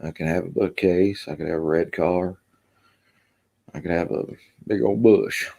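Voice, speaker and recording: A man's voice: low-pitched, fairly even intonation, normal speed, vocal fry, warm tone. A man from Kentucky with a Kentucky accent hosts a rambling, casual live stream and reads out chat comments, with lots of ums. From Kentucky, USA. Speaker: livestream host.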